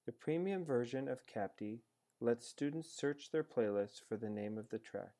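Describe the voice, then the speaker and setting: Male narrator for a step-by-step instructional screencast; soft-edged, mellow tone, measured explanatory read. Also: medium pitch, animated. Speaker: narrator of a software tutorial. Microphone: screencast voice-over.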